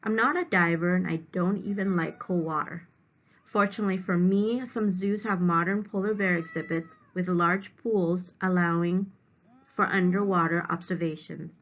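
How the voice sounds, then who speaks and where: A woman with a lowish voice reads aloud in the manner of a schoolteacher. She pauses to define words in simple terms for children. The sound is slightly muffled and lo-fi, like a homemade lesson recording. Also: steady tone, fairly bright tone. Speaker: elementary teacher reading aloud to students. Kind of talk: read-aloud lesson with simple word explanations. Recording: dull, lo-fi home recording.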